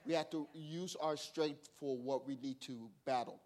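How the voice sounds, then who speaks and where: Halting man searching for words. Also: on the high side, steady tone, darkish tone. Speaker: ministry leader sharing testimony.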